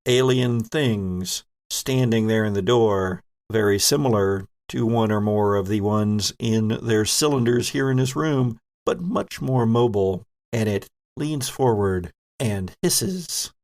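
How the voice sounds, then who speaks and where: A man's voice: lowish voice, slow pace, hardly any pauses, a little mellow. Man narrating as game master. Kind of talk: narrating a tabletop role-playing game.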